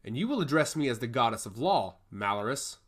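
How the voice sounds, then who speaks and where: A haughty goddess, read by a male narrator, speaks in lively, swooping phrases. She is imperious and sharp, scorning mortals in a fantasy tale. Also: mid-range voice, fairly quick. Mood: disgusted. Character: haughty, scornful goddess of law, an immortal woman. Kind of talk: fantasy audio story dialogue.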